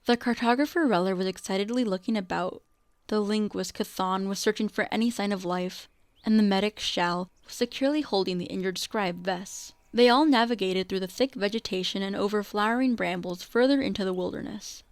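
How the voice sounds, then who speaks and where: A woman's voice: mid-range voice, a little fast, smooth, dry recording. A woman reading scripted story narration for a radio drama. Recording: music behind the voice.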